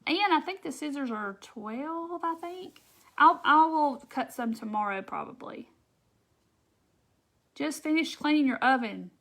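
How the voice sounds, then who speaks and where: Host, female, Southern US accent, bright higher voice, chatty and folksy on a craft live stream. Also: lively intonation, unhurried. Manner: chatty, folksy, laughs often, addresses viewers by name. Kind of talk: casual chat reading viewer comments.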